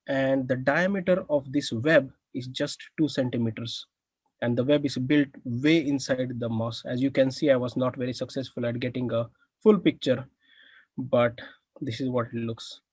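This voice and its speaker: A young man with an Indian accent presents his research from an air-conditioned room, stopping often on "uh" and lifting his voice at the parts he finds exciting. His pitch sits in the middle of the range. The sound is close and dry, with almost no room echo. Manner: many "uh" fillers, enthusiastic about findings.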